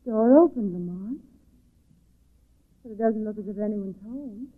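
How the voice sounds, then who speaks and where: A woman's voice: mid-range voice, a little slow, lightly acted. An actress playing a refined woman who accompanies an investigator, in 1940s radio drama style. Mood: curious. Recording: tinny, narrow-band 1940s radio recording.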